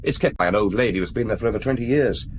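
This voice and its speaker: An actor playing a dutiful young police assistant in a radio drama, reporting to his chief in a deferential, brisk way. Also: fairly low pitch, a little hiss or hum, natural voice. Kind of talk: 1990s BBC radio drama acting.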